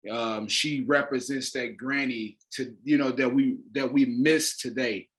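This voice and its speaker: A man with an American accent talks as a stage director being interviewed. His pitch sits in an average male range, and his delivery is casual and promotional. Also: steady tone, a little slow.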